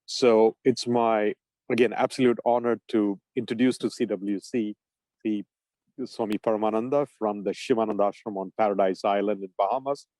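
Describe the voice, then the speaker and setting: A man acts as host, introducing a speaker in a formal, appreciative way. His voice sits a little low and is relaxed and mellow, recorded dry with little room sound. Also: normal speed, no echo, rough recording.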